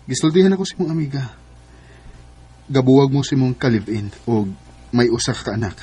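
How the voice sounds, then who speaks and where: An eager young Bisaya Filipino suitor speaks in a husky voice. He is devoted and romantic, with the pleading earnestness of radio drama. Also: mid-range voice, lively intonation, a little fast, warm tone.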